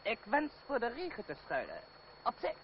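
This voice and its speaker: A man's voice: very high-pitched, huge pitch swings, normal speed, slight background noise, exaggerated voice. An actor in a radio play portraying a haughty, easily offended French nobleman, curt and menacing as a duellist. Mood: imperious. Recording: muffled old radio play, distant, crowd behind.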